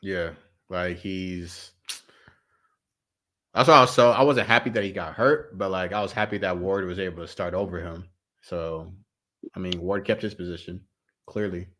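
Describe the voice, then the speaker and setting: A man's voice: low-pitched, fairly even intonation, normal speed, pauses often, fairly bright tone. A man giving blunt, slangy opinions in a casual sports live-stream chat. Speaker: co-host or guest on a sports fan stream.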